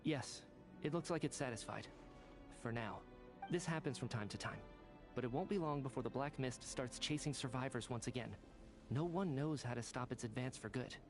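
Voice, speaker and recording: An actor playing a resolute survivor leader in a video game, stern, philosophical and exhorting. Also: male voice, medium pitch, normal speed, music in the background, lightly acted. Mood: solemn. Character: resolute male survivor leader urging others onward. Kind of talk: dramatic video game voice acting.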